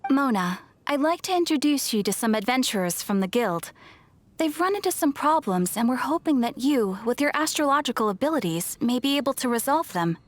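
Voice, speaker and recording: A woman's voice: high-pitched, big pitch swings, a little fast. A woman playing a polite, helpful young guild receptionist with courteous, formal phrasing in a video game. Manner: courteous, formal service talk. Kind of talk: anime-style video game acting. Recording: music behind the voice.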